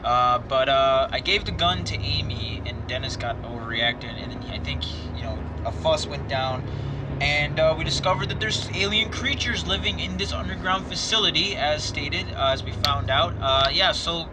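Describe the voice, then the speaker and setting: A man's voice: a little high, moderate pace, very noisy. A man hosting a gaming video, chatting loosely and reading a story game aloud, rambling with many fillers and restarts. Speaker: gaming channel host. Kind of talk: casual let's play commentary, reading a story game aloud.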